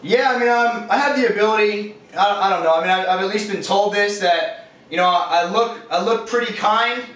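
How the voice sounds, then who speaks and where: High-pitched young Californian man, very echoey. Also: fairly quick, fairly bright tone. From California, USA. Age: 20s. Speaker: interviewee, fitness business owner. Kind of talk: casual interview answers. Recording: echoey, distant microphone.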